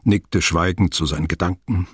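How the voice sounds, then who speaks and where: An actor playing a sober, detached narrator, reading scripted literary prose in a radio play. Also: male voice, moderate pace, dry recording, studio quality. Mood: detached, precise. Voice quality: very husky, low.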